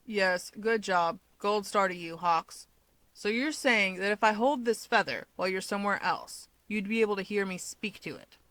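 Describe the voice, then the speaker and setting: A woman voicing a sardonic, aloof young male villain with a dry, blunt, deadpan manner in an audio story. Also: medium pitch, normal speed, no room sound, natural voice. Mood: sarcastic. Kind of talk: fan fiction audiobook character dialogue.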